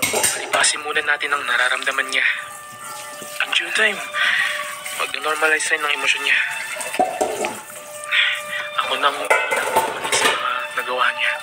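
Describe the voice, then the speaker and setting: A man's voice: mid-range voice, steady tone, moderate pace, long pauses, lightly acted, low-quality recording. A man with a Filipino accent playing a responsible elder brother, earnest and admonishing, in a radio drama. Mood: calm, apologetic. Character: adult elder brother, responsible, pleading peacemaker of the household. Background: loud dramatic music.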